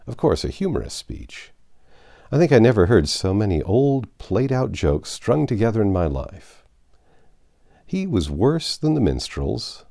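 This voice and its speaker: Male audiobook narrator, low gravelly voice, animated delivery of a classic novel. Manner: expressive storytelling with occasional slips. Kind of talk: reading a classic novel aloud.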